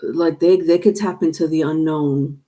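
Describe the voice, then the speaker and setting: A woman's voice: deep voice, fairly even intonation, moderate pace, warm tone. A woman with a stuffed-up nose giving a live tarot reading on camera, rambling with many fillers and restarts. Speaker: tarot reader. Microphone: webcam or phone on camera.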